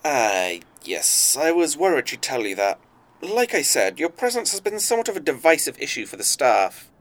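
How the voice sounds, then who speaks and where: Courteous, guarded spy boss played by a man, lively intonation. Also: fairly high pitch. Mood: smooth, guarded. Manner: formal, measured, courteous. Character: polite, guarded, older spy boss with veiled menace. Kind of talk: audio drama acting.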